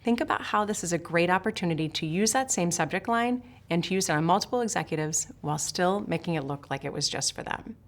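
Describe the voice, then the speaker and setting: A woman's voice: lowish voice, lively intonation, fast talker, hardly any pauses. A woman from Switzerland, a sales trainer, giving a scripted lesson straight to the viewer in a direct, emphatic way. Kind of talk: scripted instructional talk to camera.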